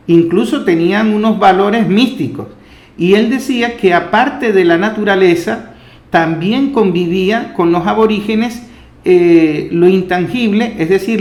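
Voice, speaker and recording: A man hosting a radio talk, explaining reflectively and building emphatic lists. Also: sits high. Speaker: radio host and interviewer. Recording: echoey room sound.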